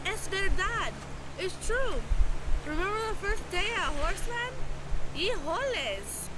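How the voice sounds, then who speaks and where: A woman's voice: extremely high pitch, very animated, measured pace, exaggerated voice. A woman voicing a sassy young girl in a cartoon, heard in a noisy clip.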